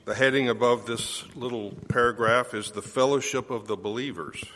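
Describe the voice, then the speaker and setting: A man reading a scripture passage aloud in a slow, measured way. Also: medium pitch, a bit bright, slight background noise. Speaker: scripture reader. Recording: church hall reverb.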